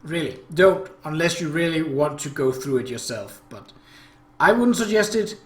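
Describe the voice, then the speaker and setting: A man's voice: fairly high pitch, animated, normal speed. A man hosting a visual novel playthrough, giving narration and commentary in a room. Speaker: gaming video host. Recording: roomy echo, clear background noise.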